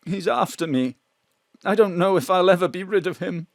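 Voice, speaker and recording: An actor playing a man who lives as a famous female stage star, frightened and on the run, speaking in a slow, grand manner. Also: high voice, expressive, gravelly, no room sound. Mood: afraid. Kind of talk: audio drama acting. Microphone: home studio mic.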